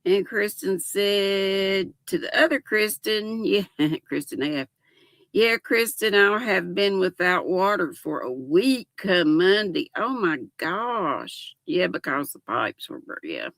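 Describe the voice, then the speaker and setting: Older lady chatting with her stream viewers, giggly and folksy, kinda gravelly, dry close mic. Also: medium pitch, expressive, slow pace, fairly bright tone, no echo. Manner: chatty, folksy, giggly, reads chat aloud. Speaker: livestream host. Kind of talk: casual chat reading viewer comments.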